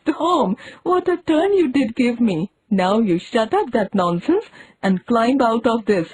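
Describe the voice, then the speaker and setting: A woman's voice: big pitch swings, measured pace, darkish tone, slightly rough, exaggerated voice. Woman doing a frightened scolding old aunt. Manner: alarmed, raised pitch.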